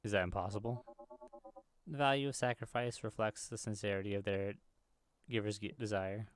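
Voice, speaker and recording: A man's voice: on the low side, fairly even intonation, moderate pace, clear, resonant tone, no echo, faint music behind. A man hosting a gaming video, reading visual novel dialogue aloud and now and then stumbling over the words.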